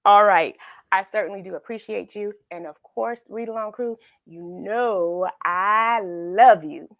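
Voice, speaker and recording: Lady doing a kids read-along, slow talker, dry close sound, playful and encouraging, repeats herself. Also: medium pitch, dry recording. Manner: playful, affectionate and encouraging, repeats phrases for emphasis. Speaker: children's read-along host. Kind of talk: informal, chatty address to children.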